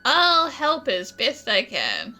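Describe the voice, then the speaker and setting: A woman's voice: high voice, wildly swooping pitch, slow, over music, heavily acted. A woman playing a plucky, supportive young traveler, direct and confident, in a video game.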